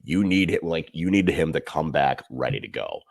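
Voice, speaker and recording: A man's voice: a bass voice, lively intonation, moderate pace, gravelly, dry recording, studio quality. A man co-hosting a podcast, chatting loosely about football with many fillers and restarts. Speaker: podcast co-host. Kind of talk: casual sports chat.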